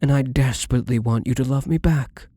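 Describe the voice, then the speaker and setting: A man's voice: medium pitch, brisk, warm tone, no room sound, close to an everyday voice. A man playing a wealthy, obsessively devoted and controlling gambler in an intimate ASMR roleplay, with doting, menacingly tender delivery and formal wording.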